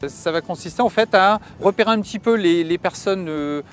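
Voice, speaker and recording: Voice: mid-range voice, a little fast. A school staff member explaining the programme in an interview. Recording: faint music under the voice.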